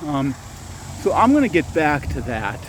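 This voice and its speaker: A man's voice: on the high side, very animated, a little slow, a little hiss or hum. A man hosting his own channel, talking casually while walking outdoors at dusk, rambling with frequent restarts and self-corrections. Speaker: channel host and storyteller. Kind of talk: casual walking vlog talk.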